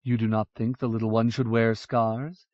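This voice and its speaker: A man voicing a warm, teasing young mother from the grasslands, who speaks in a soft, low way. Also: flat delivery, normal speed, dry recording, natural voice. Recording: dull lo-fi audiobook recording.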